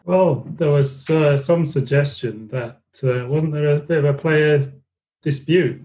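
A man's voice: on the high side, mellow, rough recording. Hesitant man in casual podcast discussion. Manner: hesitant, halting. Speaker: podcast panellist.

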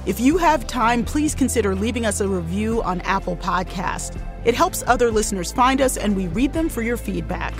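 A middle-aged woman hosting a podcast, reading a scripted intro and then asking relaxed questions. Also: on the high side, normal speed, hardly any pauses, music in the background. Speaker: podcast host.

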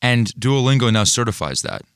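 A man's voice: mid-range voice, very animated, moderate pace, fairly bright tone, clear, resonant tone, dry recording. A man hosting a podcast, asking pointed questions between short reactions. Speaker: podcast host, interviewer. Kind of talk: conversational interviewing.

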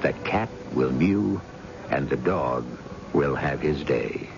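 A man acting as the brooding storyteller host of a radio mystery, narrating in a dramatic, deliberate, portentous style. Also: a bass voice, expressive, vocal fry, noisy background, exaggerated voice. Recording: dull old radio broadcast.

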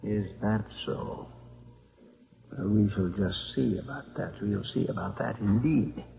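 An actor playing an elderly, stubborn and wry college priest in 1950s radio drama style, with dry, emphatic delivery. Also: male voice, lowish voice, unhurried, vocal fry, very noisy. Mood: defiant. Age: older. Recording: muffled 1950s radio broadcast.

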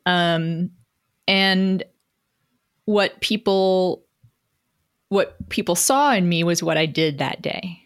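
Female interview guest, middle-aged. Slightly low pitch, candid conversational storytelling, reflective with wry self-deprecation. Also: slow pace, long pauses, clean tone.

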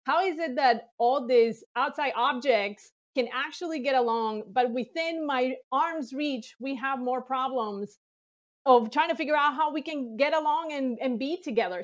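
Woman with a high bright voice, talking fast and excited on a video call, a bit muffled. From Colombia. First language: Spanish. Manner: enthusiastic, animated storytelling with laughs. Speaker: NASA mission lead, guest. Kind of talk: interview.

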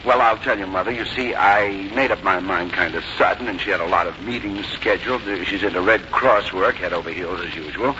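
A man's voice: sits low, fairly quick, few pauses, close to an everyday voice. An actor playing a middle-aged American businessman son, hearty, reassuring and coaxing, in a 1950s radio drama. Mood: hearty, affectionate. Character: cheerful, placating grown son, busy city businessman. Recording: muffled 1951 radio recording with hiss.

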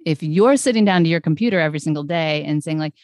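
A middle-aged woman with a fairly low voice talks animatedly as a podcast guest. She explains ideas through analogies and laughs often. The recording is close and dry. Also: fast, a little mellow, dry recording. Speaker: podcast guest, brand strategist. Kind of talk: casual interview chat.

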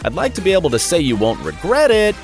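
A man's voice: high-pitched, wildly swooping pitch, fast, faint music behind. A man reading a scripted radio jingle voice-over as the station announcer, theatrical and tongue-in-cheek.

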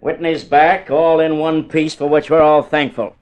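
A male actor as a measured chief opening a meeting in an old radio drama. His slightly high voice is formal, calm and deliberate. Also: natural voice. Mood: calm, authoritative. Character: measured male boss chairing an inquiry. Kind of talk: 1950s radio drama acting.

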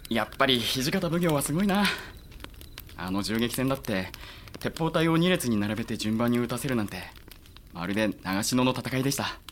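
An actor playing an earnest, loyal young samurai officer, polite and admiring toward a superior, in a Japanese period audio drama. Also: male voice, mid-range voice, lively intonation, normal speed, fairly bright tone, a little hiss or hum, natural voice. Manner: polite, eager, deferential.

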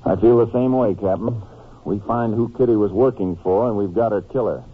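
An actor playing a steady, businesslike Texas Ranger in a 1950s radio drama, with a direct, matter-of-fact American delivery. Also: male voice, on the low side, a little fast, slightly rough. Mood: determined. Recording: muffled, narrow-band old radio recording.

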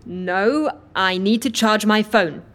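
A woman's voice: medium pitch, slow, a bit bright. An actress playing a curt, exasperated woman stranded as a customer, clipped and insistent, in a comedy audio drama.

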